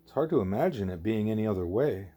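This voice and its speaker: A man's voice: lowish voice, lively intonation, fast talker, mellow. A man voicing a curious, frank young girl pupil in an audiobook, plain-spoken and questioning. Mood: hesitant, questioning.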